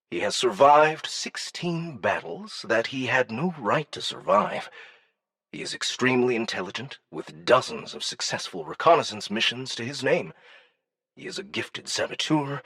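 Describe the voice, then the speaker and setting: Man acting a creaky, husky, insinuating alien dignitary. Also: mid-range voice, fairly bright tone, dry recording, voice pushed up. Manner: languid, deliberate, insinuating. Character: cunning, probing male alien dignitary, coolly menacing.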